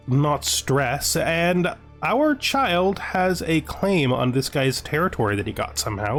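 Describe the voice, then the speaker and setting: A man hosting a let's play, thinking aloud as he comments, with playful mock-menacing asides. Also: mid-range voice. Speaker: host of a gaming video series. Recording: music behind the voice. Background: music.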